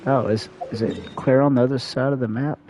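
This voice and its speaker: A man's voice: medium pitch, steady tone, a little fast, mellow, soft background music. A man giving casual live gameplay commentary, musing aloud to himself, on a dull lo-fi microphone. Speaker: game streamer. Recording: dull lo-fi mic, faint noise.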